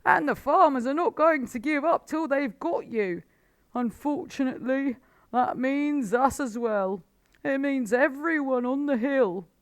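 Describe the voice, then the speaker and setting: A woman's voice: high voice, very animated, takes it slowly, dry recording, pitched above the performer's own voice, heavily acted. A woman voicing a desperate, anguished old male badger in a storybook. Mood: furious. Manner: anguished, pleading, loud.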